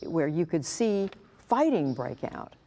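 An older woman in her seventies, a news correspondent asking measured, probing interview questions in a farm shed. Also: sits low, big pitch swings, warm tone.

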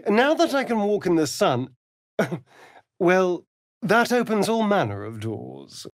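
Vain vampire rogue, British accent, sardonic, theatrical, animated pitch, unhurried drawl, fantasy game dialogue. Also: male voice, dry recording, studio quality, exaggerated voice. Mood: smug, amused. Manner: arch, theatrical, drawling, aristocratic delivery. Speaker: video game voice actor.

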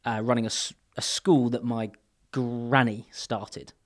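A man's voice: medium pitch, level tone, measured pace. Rambling man in a podcast studio. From England. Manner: rambling, many restarts and fillers, trailing off quietly. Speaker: podcast guest. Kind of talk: casual interview chat. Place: podcast studio with brick wall. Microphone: boom mic on arm.

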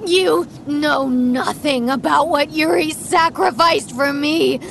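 A woman's voice: sits high, slow, vocal fry, a crowd in the background, heavily acted. A woman playing a defiant, mocking young monster-fighter in an audio drama, with a casual, taunting way of talking.

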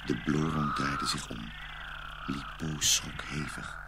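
A man narrating a dark fairy tale in a dramatic storytelling style. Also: very deep voice, fairly even intonation, slow, heavy background noise.

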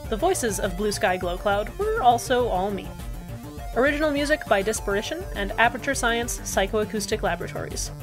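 A woman's voice: fairly high pitch, lively intonation, fairly quick, music in the background. Woman reading show credits matter-of-factly. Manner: plain, matter-of-fact reading. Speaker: writer and producer of the show.

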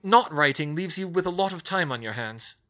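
A man's voice: fairly high pitch, animated, brisk, a bit bright, lo-fi sound, voice pushed up. A man voicing a smug, scheming middle-aged woman gossip journalist, pushy in tone. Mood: indignant.

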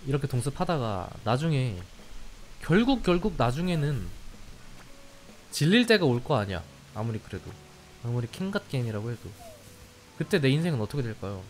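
A man's voice: mid-range voice, very animated, fairly quick, pauses often, poor audio. A young man casually commenting while playing a cozy life game, playful and reactive, chatting to his viewers. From standard-Korean-speaking region of Korea. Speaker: game streamer.

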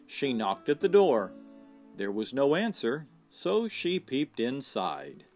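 Male storyteller, children's read-aloud, slow delivery, lively expressive intonation, faint guitar backing. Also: mid-range voice. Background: soft guitar music.

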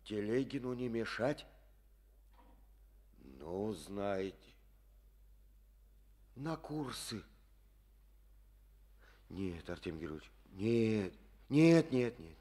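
An actor playing a blustering, wounded party official who pleads and grumbles in an old radio drama. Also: male voice, on the low side, very animated, slow, pauses often, dark tone, exaggerated voice. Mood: pleading. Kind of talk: 1950s Soviet radio drama acting.

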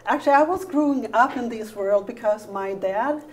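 A woman's voice: on the high side, moderate pace, a little mellow, slight background noise. A Swedish woman in her fifties, a guest in a small TV studio interview, chatting with slightly non-native phrasing. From Sweden. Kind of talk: casual interview.

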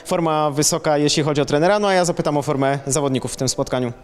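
A man's voice: a little high, racing, soft background music. A man reporting for a football club's channel, introducing guests and asking chatty questions. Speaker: club media reporter.

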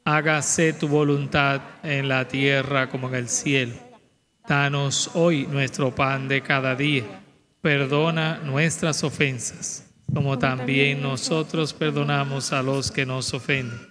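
A man's voice: mid-range voice, little pitch movement, slow pace, a crowd in the background. A middle-aged man, a priest leading prayers and addressing a congregation in a large church over a microphone. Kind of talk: liturgical prayer and announcements to a congregation. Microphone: microphone on altar stand or handheld.

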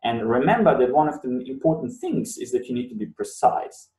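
A man's voice: a little high, sing-song, fairly quick, creaky, darkish tone. Bosnian-native man lecturing in a roomy space. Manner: explanatory, repeats words for emphasis. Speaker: university lecturer. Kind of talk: webinar lecture. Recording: roomy sound, mic at a distance.

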